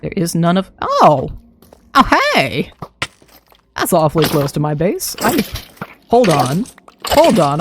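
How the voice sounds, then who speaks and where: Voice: mid-range voice, background noise. Chatty streamer with a dry throat. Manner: chatty, talks to self while playing, laughs often. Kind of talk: casual live gameplay commentary.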